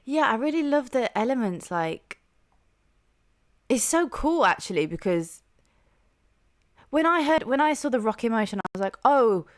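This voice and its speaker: A young woman hosting a music reaction channel, chatting casually and enthusiastically with a soft voice and lots of "like" and "um". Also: fairly high pitch, very animated, normal speed, long pauses. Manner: chatty and enthusiastic, heavy use of "like" and "um".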